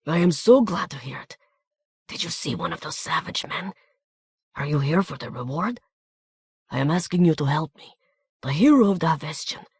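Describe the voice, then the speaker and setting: A man's voice: on the high side, lively intonation, normal speed, pauses often, slightly rough, no echo. Man plays a talkative, nervous little man with a Hungarian accent; urgent whispers, dry booth. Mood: anxious whisper.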